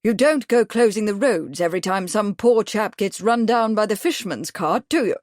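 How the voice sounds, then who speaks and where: A woman's voice: medium pitch, expressive, moderate pace, no echo, studio quality, close to an everyday voice. A woman voicing a brusque, stubborn male company manager who growls his refusals. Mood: fierce. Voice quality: brusque, forceful.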